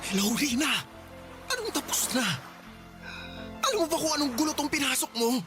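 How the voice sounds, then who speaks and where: A man's voice: extremely high pitch, very animated, normal speed. Radio drama role: cornered, courteous young Filipino man, anxious stammer, music bed behind. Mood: shocked, upset. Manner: hesitant, stammering, respectful. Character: polite, naive young husband and father, cornered and anxious.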